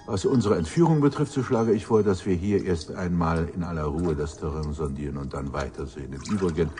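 An actor in a German radio drama playing an eloquent, pompous professor with formal, theatrical delivery. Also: male voice, low-pitched, fairly quick, warm tone, faint music behind, close to an everyday voice. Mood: smug, ironic. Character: eloquent, pompous male professor of extraterrestrial research.

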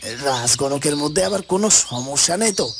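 Anxious, high-pitched cartoon kingdom man played by a man. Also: animated, fairly quick, creaky, noisy background. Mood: uneasy. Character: anxious, suspicious male subject of a fairy-tale kingdom. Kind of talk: dramatic cartoon dubbing.

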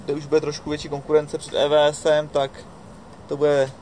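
A man in his twenties giving a casual, halting race recap with frequent pauses, recorded outdoors on a deck. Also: fairly high pitch, monotone, darkish tone, a little hiss or hum. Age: 20s. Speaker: mountain bike racer recapping a race. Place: outdoor wooden deck in the mountains.

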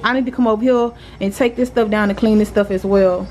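A woman's voice: medium pitch, fairly even intonation, quick pace, background noise. A young woman with a Southern US accent, a single mother chatting loosely through a home cleaning vlog and scolding her kids. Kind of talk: casual home vlog chat. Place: apartment living room and kitchen, indoors. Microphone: handheld phone camera.

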